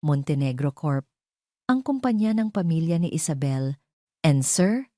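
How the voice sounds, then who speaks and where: A woman's voice: sits low, sing-song, unhurried, mellow, no room sound, studio quality. A woman with a Filipino accent voicing a dutiful assistant who reports urgently and in a businesslike way in an audio drama. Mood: urgent, reporting.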